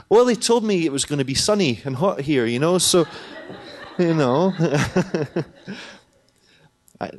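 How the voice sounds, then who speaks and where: A man giving a lively, jokey talk at a conference hall, often asking the audience questions. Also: on the high side, slow, pauses often. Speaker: conference presenter, teacher trainer.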